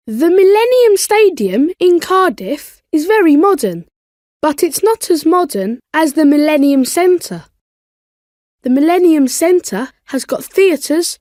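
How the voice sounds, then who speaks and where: A woman's voice: high-pitched, a little mellow, no echo, clean studio sound, exaggerated voice. A woman voicing a friendly young boy from Wales in a scripted language course, speaking carefully. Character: friendly young Welsh boy introducing his country.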